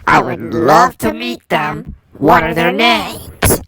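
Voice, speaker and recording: A woman's voice: deep voice, lively intonation, drawn-out words, a crowd in the background, exaggerated voice. A woman voicing a cocky, taunting chaos monster spoiling for a fight in an amateur action drama. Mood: mocking. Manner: taunting, challenging.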